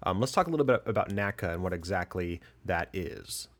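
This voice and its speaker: A man's voice: deep voice, lively intonation, a little fast. A man hosting a podcast who asks curious, eager questions in a casual way. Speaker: podcast host, flight student.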